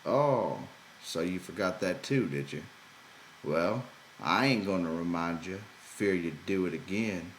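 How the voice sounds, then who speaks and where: A man voicing a gruff, bossy ranch hand in an exasperated rustic dialect for an audiobook. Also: sits low, a little slow. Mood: disgusted. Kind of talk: character voice in audiobook reading. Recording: roomy echo, background noise.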